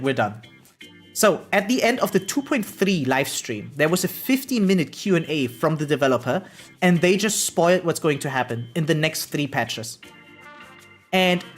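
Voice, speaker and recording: A woman game streamer chatting casually and opinionatedly on a live stream, swearing freely and often checking in with her listeners. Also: fairly low pitch. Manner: animated, opinionated venting with rhetorical check-ins.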